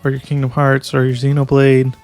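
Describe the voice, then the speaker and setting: A young man with a gravelly, creaky voice talks through a casual game review in a flat, level tone. He hesitates and restarts his sentences often, and faint music plays beneath him. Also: mid-range voice, a little fast. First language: English.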